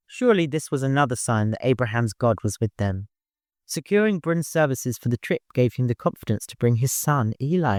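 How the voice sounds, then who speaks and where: Animated male audiobook narrator. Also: mid-range voice, no echo, studio quality. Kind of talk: scripted story narration.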